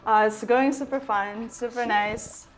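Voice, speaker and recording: A woman's voice: fairly high pitch, fairly even intonation, unhurried, soft background music, rough recording. A woman from Ukraine with a Ukrainian accent, talking informally and at length as a guest in a casual interview. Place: her apartment.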